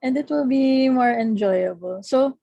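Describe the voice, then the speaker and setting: A woman's voice: high voice, a little slow, gravelly, warm tone, no room sound, highs cut off. A Filipino woman speaking politely and casually as she runs an online meeting, mixing English and Tagalog. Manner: polite and deferential, switches between English and Tagalog. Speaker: meeting organizer directing a table read. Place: online video call.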